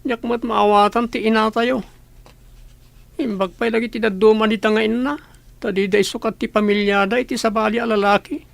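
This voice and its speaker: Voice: mid-range voice, fairly even intonation, normal speed, creaky, dry recording, natural voice. A performer acting a bitter, resigned young elder sibling in a radio drama.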